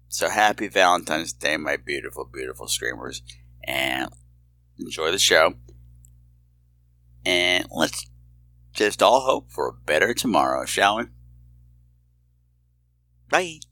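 A man's voice: rumbling low, expressive, takes it slowly, long pauses, bright, forceful tone, no echo. A man hosting a podcast with a casual, rambling introduction, informal and scattered with theatrical flourishes. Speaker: podcast host.

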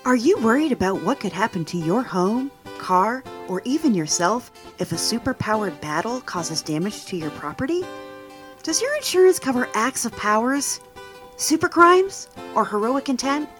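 A woman's voice: a little high, big pitch swings, moderate pace, fairly bright tone, faint music behind. A woman playing an upbeat announcer in a parody insurance commercial, with a salesy, emphatic delivery.

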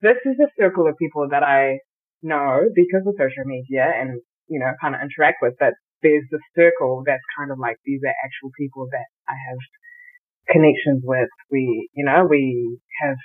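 A woman's voice: very deep voice, normal speed. Giggly woman on a tinny phone-like line. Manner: giggly, playful, informal. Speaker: podcast guest. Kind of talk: casual chat between friends. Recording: tinny, phone-like call audio.

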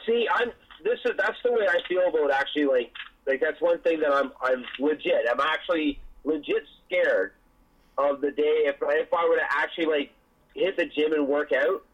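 A man's voice: a little high, moderate pace, muffled recording. A man chatting casually as podcast co-host, rambling with frequent restarts and swearing.

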